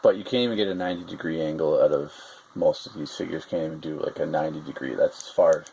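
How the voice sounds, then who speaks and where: A man with a very low voice talks casually through a toy review, rambling and hesitating, stopping often on fillers. The room has a faint steady hiss underneath him. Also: quick pace, gravelly, rough recording.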